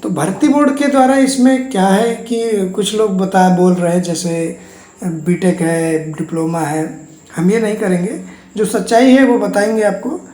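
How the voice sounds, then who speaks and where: A host explains updates to exam candidates in a soft, dark-toned voice. The delivery is reassuring and circles back over the same points. The room adds a clear echo. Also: medium pitch, moderate pace. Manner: repetitive, reassuring. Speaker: host of an exam news channel. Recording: echoey untreated room.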